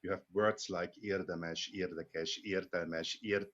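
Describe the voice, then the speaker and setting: German man, husky, speaking slowly. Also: deep voice, steady tone, a bit bright, dull audio. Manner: halting, many restarts and repeated words. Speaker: interview guest, Hungarian learner. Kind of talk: casual interview conversation.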